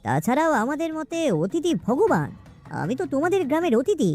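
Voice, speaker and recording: A woman's voice: high voice, sing-song, fairly quick, a little mellow, faint music behind. A woman voicing a lazy, grumbling village husband in a cartoon. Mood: pleading. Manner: whining, grumbling. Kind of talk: cartoon voice acting.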